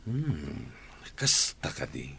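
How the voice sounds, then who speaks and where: An actor with an Ilocano accent playing an irritable, jealous boss giving threatening warnings in a comedy radio drama. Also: male voice, rumbling low, very animated, slow, gravelly, sharp tone, slightly rough, heavily acted. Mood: suspicious, irked.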